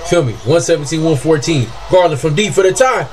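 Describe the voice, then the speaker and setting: Excitable young man hollering at a game. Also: a little fast, slight background noise. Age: young adult. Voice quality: deep voice. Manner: excitable, yelps and hollers, slangy trash talk. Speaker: sports reaction streamer. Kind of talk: casual reaction commentary. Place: small room indoors. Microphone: webcam and desk mic.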